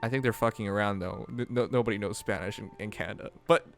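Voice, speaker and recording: A young man chatting casually on a livestream, animated and rambling, often breaking into laughs. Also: on the low side, fast, vocal fry. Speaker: streamer. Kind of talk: casual stream chat. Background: faint music.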